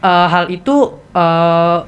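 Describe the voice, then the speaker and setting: A teenage girl with a Jakarta Indonesian accent hosting a student podcast in a casual, halting, conversational way. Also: fairly low pitch, animated. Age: teens. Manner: halting, hesitant delivery with repeated self-interruptions.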